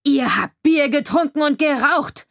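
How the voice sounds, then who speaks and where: A woman's voice: medium pitch, normal speed, no echo, studio quality, lightly acted. A woman voicing a bullying, heavyset middle-aged male sheriff who talks through a barely opened mouth. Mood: shouting. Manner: menacing, scornful.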